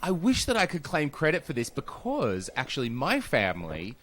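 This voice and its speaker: An Australian man hosting a casual podcast chat over a video call, animated and swearing freely. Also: fairly high pitch, moderate pace. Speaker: podcast host.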